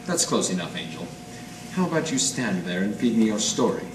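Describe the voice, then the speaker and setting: Man acting low, cynical noir detective, echoey. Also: very animated, fairly quick. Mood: wry, guarded. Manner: wry, clipped hard-boiled slang. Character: cynical, world-weary small-time noir detective man. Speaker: amateur stage radio-drama actor. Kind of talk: 1940s radio noir acting. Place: live performance in an art gallery. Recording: distant mic in echoey noisy room.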